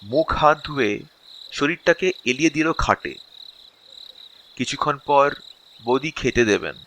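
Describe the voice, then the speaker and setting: A male storyteller with a bright, clear-edged voice reads a scripted tale slowly. He breaks it into short, expressive phrases with dramatic pauses, over faint birdsong and cricket ambience. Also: mid-range voice, slight background noise. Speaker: storyteller, narrator.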